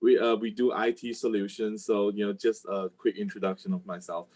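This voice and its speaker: A man's voice: on the low side, level tone, a little fast, darkish tone. A man from Malaysia speaking casually and hesitantly in a friendly way on an online group call. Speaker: IT business volunteer. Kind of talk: casual self-introduction.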